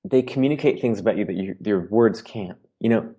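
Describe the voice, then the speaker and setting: Man, very low voice, earnest, philosophical, podcast guest, casual conversation. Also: a little fast, mellow, breathy edge. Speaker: guest artist.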